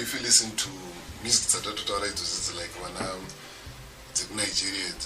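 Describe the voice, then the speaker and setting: Zimbabwean producer guy explaining music stuff, low-ish voice, mixes english n shona, far from the phone. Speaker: music producer giving a seminar. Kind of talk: WhatsApp voice-note lecture.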